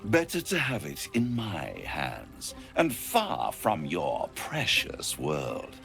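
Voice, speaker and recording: A silky game devil who makes bargains with grand theatrical relish. The voice is deep and slow, and it swoops dramatically from low to high. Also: male voice, huge pitch swings, creaky, over music, exaggerated voice. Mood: gloating, sly. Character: suave, scheming male devil offering bargains. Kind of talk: video game cutscene acting.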